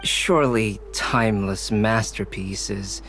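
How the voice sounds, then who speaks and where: An actor playing a calm, scholarly young gentleman in a video game, speaking in a measured, polite way. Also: lowish voice, slight background noise. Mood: gracious.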